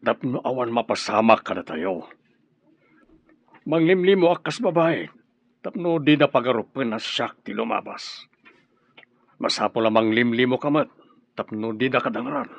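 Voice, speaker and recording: A man's voice: mid-range voice, expressive, moderate pace, creaky, pauses often, no echo. A man acting a cautious schemer in an Ilocano radio drama, earnest and insistent, with an Ilocano accent. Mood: cautious, urgent. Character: cautious, scheming man planning a disguised getaway.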